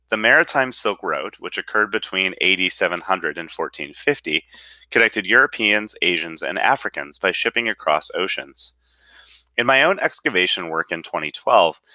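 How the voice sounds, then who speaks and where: A man's voice: low voice, sharp tone, no room sound. A man reading an article aloud with careful enunciation. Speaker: reader.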